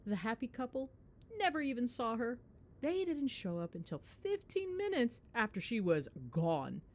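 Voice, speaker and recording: Animated gossipy girlfriend, acted by a woman. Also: fairly high pitch, moderate pace. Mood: amused gossip. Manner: animated, playful, sweary, dramatic griping. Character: chatty, gossipy girlfriend venting on the drive home. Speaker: ASMR roleplay voice actor. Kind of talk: ASMR girlfriend roleplay, casual phone chat.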